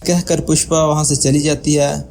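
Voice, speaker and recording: A man's voice: on the high side, little pitch movement, normal speed, a little mellow, a little hiss or hum. A man reading scripted narration as the storyteller of a moral tale.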